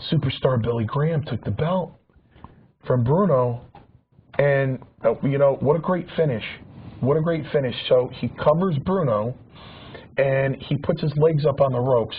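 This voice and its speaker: A man's voice: mid-range voice, measured pace, long pauses. A man in his forties reminiscing in a casual talk show chat, rambling with many restarts and repeated words. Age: 40s. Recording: dull lo-fi sound with faint hiss.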